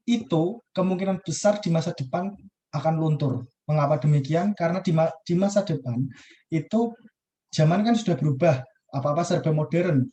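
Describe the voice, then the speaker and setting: A man's voice: on the high side, warm tone. A young man, a university student, talking through a class discussion on a video call, casual and hesitant with many fillers and restarts. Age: young adult.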